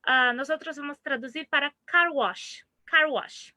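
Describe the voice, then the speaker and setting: Brazilian woman speaker, bright, crisp tone, Portuguese-accented Spanish with restarts, seminar talk. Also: high voice, dry recording. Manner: mixes Portuguese words into halting Spanish, frequent restarts. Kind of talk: online seminar lecture.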